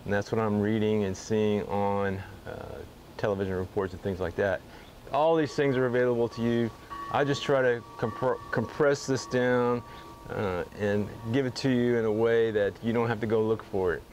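A middle-aged man, a fly fishing guide, seated in his workshop, giving a casual weekly fishing report to camera with frequent pauses. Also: on the low side, a little hiss or hum. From Texas. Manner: relaxed, easygoing, with frequent "uh" pauses. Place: indoor garage-like workshop bar, seated at a table.

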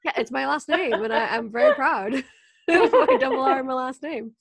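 A woman's voice: high voice, sing-song, moderate pace, creaky, dry recording. Chatty woman podcast host, dry sound. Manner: chatty, filler-heavy, laughs easily. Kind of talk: casual conversational interview.

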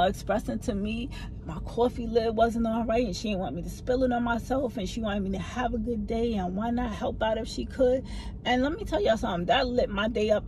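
A middle-aged woman telling a story to her phone camera from a parked car, lively and gesturing as she talks, with a low voice that some people find hard to hear. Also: slight background noise, poor audio. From family from the American South. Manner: animated, talks with her hands. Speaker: vlogger. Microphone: phone camera mounted in front of her.

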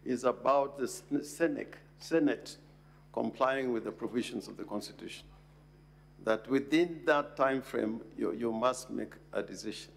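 Slow, gravelly Kenyan senator. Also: male voice, medium pitch. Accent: Kenyan English. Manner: deliberate, hesitant with fillers. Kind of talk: parliamentary remarks. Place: large parliamentary chamber. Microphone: desk microphone.

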